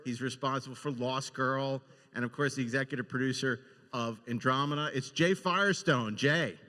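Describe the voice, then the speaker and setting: A deep-reverb hall surrounds this lower-pitched-to-average male host. He speaks off the cuff with plenty of enthusiasm and praise. His delivery halts and restarts around fillers as he presents to listeners. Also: normal speed, bright, forceful tone. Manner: enthusiastic, effusive. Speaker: podcast and convention panel host. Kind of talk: unscripted hosting and guest introductions. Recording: echoey hall with long reverb.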